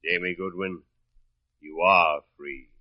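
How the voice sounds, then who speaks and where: An actor playing a stern, dignified judge, formal and solemn, in an old radio drama. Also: male voice, low-pitched, fairly even intonation, takes it slowly, loud music behind the voice. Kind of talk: 1950s radio drama acting. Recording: dull old radio recording.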